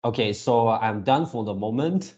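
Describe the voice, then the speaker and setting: A man hosting a casual fan reaction video, gushing excitably in halting, drawn-out phrases. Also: lowish voice, husky, highs cut off.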